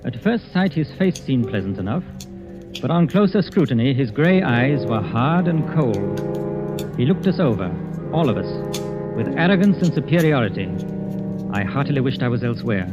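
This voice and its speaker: An actor as a grave radio mystery narrator. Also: male voice, medium pitch, expressive, moderate pace, natural voice. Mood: grave narration. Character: uneasy gentleman guest recounting a grim dinner. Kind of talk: dramatic old-time radio narration. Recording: dull vintage radio recording, music behind.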